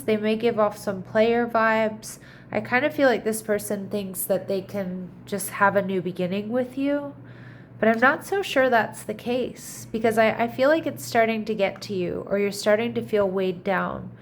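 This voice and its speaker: A woman's voice: medium pitch, slight background noise. A slightly congested woman gives a casual tarot reading to camera, speaking in a chatty, reassuring way with frequent restarts as she thinks aloud. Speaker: tarot reader.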